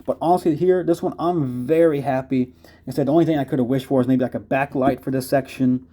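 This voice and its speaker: A man's voice: medium pitch, steady tone, fast talker, dark tone. An American man giving a casual, unscripted product review as a video host, explaining things conversationally. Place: indoors.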